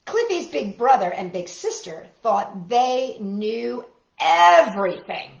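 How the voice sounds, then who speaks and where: A woman's voice: fairly high pitch, very animated, slow, vocal fry, roomy sound. A woman hosting a children's story time, reading aloud and chatting playfully and expressively straight to young listeners.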